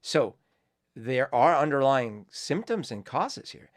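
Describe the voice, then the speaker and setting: A man's voice: medium pitch, very animated, slow. A man who grew up in Colombia hosts his own show, giving reflective, rambling commentary full of fillers and restarts. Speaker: host. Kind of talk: casual commentary.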